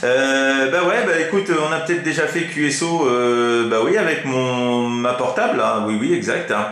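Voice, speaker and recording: A man's voice: mid-range voice, moderate pace, a bit bright. A man chatting casually and colloquially as an amateur CB radio operator. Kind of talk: casual radio contact chat. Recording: very echoey radio microphone sound.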